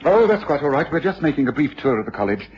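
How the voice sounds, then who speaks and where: An English actor playing a self-assured, courteous, authoritative detective in a vintage radio drama. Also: male voice, fairly high pitch, big pitch swings, fast talker, darkish tone. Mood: polite. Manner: crisp, polite, authoritative. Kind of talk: 1950s BBC radio drama acting. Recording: dull, noisy vintage radio recording.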